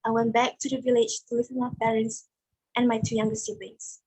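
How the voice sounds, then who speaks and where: Husky young village woman, heartbroken, played by a woman. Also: fairly high pitch, flat delivery, brisk, darkish tone, rough recording. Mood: hurt, reflective. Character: heartbroken, humiliated young village woman recounting her past. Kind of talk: dramatic monologue in a play reading.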